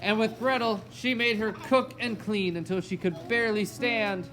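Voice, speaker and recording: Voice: mid-range voice, steady tone, moderate pace, low-quality recording. A storyteller narrating a fairy tale for a children's puppet show. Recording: loud music alongside the voice. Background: music.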